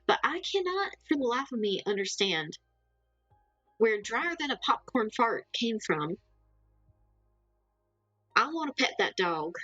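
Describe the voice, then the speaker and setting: Woman streamer, mild Southern accent, rambly and giggly, a bit high-pitched, dry sound. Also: pushed and bright, no room sound, lo-fi sound. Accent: mild Southern American. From Southern United States. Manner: rambling and chatty, laughs often. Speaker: livestream host. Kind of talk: casual chat with a live audience.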